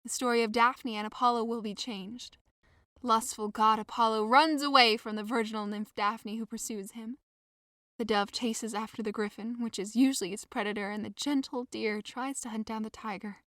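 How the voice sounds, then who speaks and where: A devoted, lovesick young woman played by an actress in an audio drama. She pleads with earnest theatrical feeling in a fairly high voice, recorded close with no room sound. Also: fairly even intonation, normal speed, fairly bright tone, no echo, close to an everyday voice. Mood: hurt, determined.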